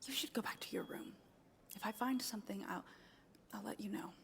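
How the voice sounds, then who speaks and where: A woman's voice: mid-range voice, a little fast, gravelly, fairly bright tone, whispered. A woman playing a guarded, authoritative nurse at a sinister institute in a horror audio drama. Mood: guarded, stern.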